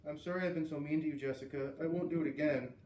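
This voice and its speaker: A man's voice: on the high side, steady tone, fast, a little mellow, reverberant, recorded from a distance, natural voice, rough recording. Kind storybook schoolgirl, read by a man: earnest, plain-spoken, heard off-mic with faint noise. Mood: sorry, earnest. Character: kind, upset farm girl, earnest young student.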